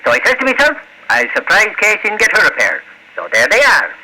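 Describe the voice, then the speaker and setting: A brash, blustery Irish newspaperman from a 1940s radio serial. The voice sits high and swings around in a lively way, with a very sharp, bright edge. He is folksy and good-natured, on an old broadcast recording. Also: quick pace, slight background noise, heavily acted, low-quality recording. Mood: pleased. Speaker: actor.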